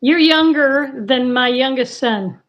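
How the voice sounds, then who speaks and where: A woman in her sixties, a guest on a casual livestream chat, talking in an unhurried, rambling way. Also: high voice. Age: 60s. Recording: dull, lo-fi call audio.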